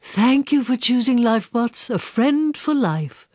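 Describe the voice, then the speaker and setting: A woman voicing a gentle, polite male companion robot for a radio story, fluid and slow in a scripted way. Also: on the high side, animated, dry recording, clean studio sound, pitched above the performer's own voice. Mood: chirpy.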